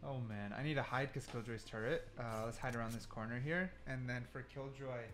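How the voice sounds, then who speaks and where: A man doing excited gameplay commentary, thinking aloud and often repeating himself. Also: mid-range voice, brisk. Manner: repetitive, exclamatory, thinking aloud. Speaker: gaming content creator testing game mechanics.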